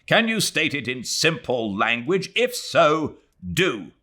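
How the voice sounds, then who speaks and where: A man's voice: on the high side, big pitch swings, takes it slowly, sharp tone, voice pushed up, exaggerated voice. A man voicing a stiff, exasperated young Englishman who speaks in a clipped, brusque way. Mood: brusque impatience.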